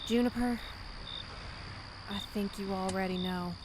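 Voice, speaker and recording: A woman's voice: medium pitch, steady tone, a little slow, noisy background, natural voice. A woman playing a sincere, apologetic defector in an audio drama, speaking earnestly and with some hesitation. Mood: apologetic, tender. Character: conscientious female defector, apologetic, sincere, gentle.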